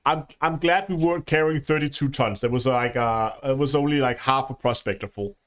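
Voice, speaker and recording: A man's voice: mid-range voice, brisk, fairly bright tone. Chatty Danish man streaming a game. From Denmark. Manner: chatty, reads out chat, laughs often. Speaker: livestream host. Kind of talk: casual gaming livestream chat.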